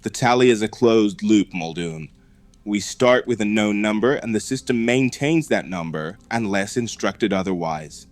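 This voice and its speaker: A man plays a methodical technician in a found-footage horror drama. His voice sits low, and he explains things precisely in a dry, matter-of-fact way with a wry edge. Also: animated, moderate pace, no echo, lightly acted. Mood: dry, explanatory. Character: dry, methodical male computer technician at a dinosaur park. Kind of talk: scripted found-footage horror film dialogue.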